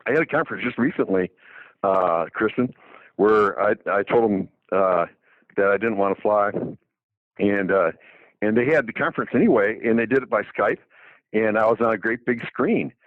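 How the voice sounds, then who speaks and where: A man's voice: fairly low pitch, normal speed, no room sound. A man in his seventies, a doctor, talking casually on the radio with many restarts and fillers. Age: 70s. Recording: phone-like dry narrow line.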